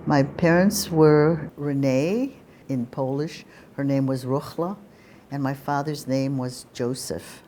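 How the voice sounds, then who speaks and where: Woman, 80s, from Belgium; low, dark voice, unhurried, slight ambience. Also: slight background noise. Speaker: Holocaust survivor interviewee. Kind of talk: recounting childhood memories.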